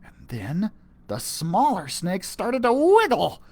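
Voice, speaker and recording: A man narrating a children's storybook with a playful, animated delivery. He reads slowly, and his pitch leaps and falls across every line. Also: a little hiss or hum. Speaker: children's librarian reading aloud. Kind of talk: storybook read-aloud.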